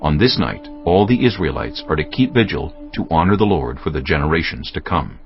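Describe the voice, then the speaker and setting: A man narrating scripture from a script in a measured, solemn way. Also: very deep voice, sing-song, muffled recording, faint music behind.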